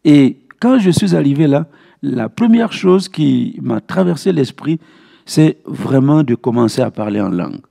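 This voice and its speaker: Male pastor for Bible study teaching; creaky, relaxed voice, didactic and repetitive for emphasis. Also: mid-range voice, measured pace. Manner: didactic, repeats key phrases for emphasis. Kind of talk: Bible teaching with verse citations.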